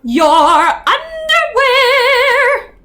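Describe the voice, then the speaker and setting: A woman voicing a patient mother frog in a storybook read-aloud, calling out and gently teasing. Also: very high-pitched, very animated, drawn-out words, soft background music, pitched above the performer's own voice, full character voice.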